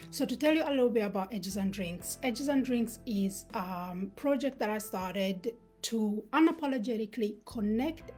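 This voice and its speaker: Female host for a live talk, originally from Uganda, raised in Sweden, earnest and encouraging, soft music under her voice. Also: fairly high pitch, breathy edge. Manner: earnest, motivational, with filler pauses. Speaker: host and founder. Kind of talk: livestream talk.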